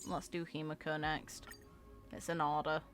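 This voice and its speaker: A woman's voice: low-pitched, level tone, moderate pace, soft background music. A woman giving casual gaming reaction commentary in short, wry, informal asides. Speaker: gamer reacting to character voicelines.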